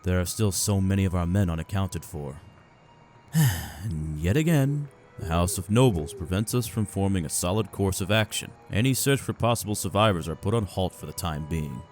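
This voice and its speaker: A man playing a weary, guarded military spymaster in a fantasy audio drama, speaking in a measured, calculating way. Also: low-pitched, animated, a little mellow, faint music behind. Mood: frustrated.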